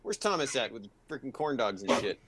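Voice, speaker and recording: A man's voice: mid-range voice, big pitch swings, a little fast, background noise. A man joking and teasing casually on a group video call. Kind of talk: casual banter. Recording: overlapping chatter on video call.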